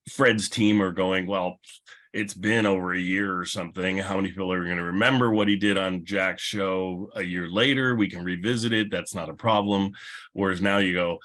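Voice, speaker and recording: A man's voice: low voice, fairly bright tone. A man moderating a casual panel discussion, explaining things in a conversational, rambling way. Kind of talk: casual panel discussion about old radio.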